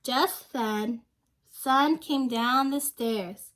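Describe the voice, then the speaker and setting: Slow, high-pitched young woman reading to children. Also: a bit bright, poor audio. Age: young adult. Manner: simple explanatory asides, prompting young listeners. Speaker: intern reading a picture book aloud to children. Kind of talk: children's read-aloud with questions to the listeners.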